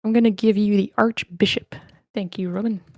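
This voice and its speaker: A woman with a medium-pitched voice hosts an online script reading, recorded dry and close. She is polite and informal, thinking aloud as she moves things along. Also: fairly even intonation, normal speed, dry recording. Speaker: host of online table read. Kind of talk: casual hosting of a group meeting. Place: online voice meeting.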